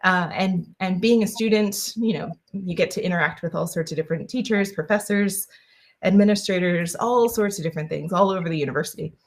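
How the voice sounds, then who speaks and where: Lowish-voiced woman guest, casual and rambling, heavy on fillers. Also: a little fast. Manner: chatty, many fillers and restarts. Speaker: guest, engineer. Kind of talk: casual interview answers.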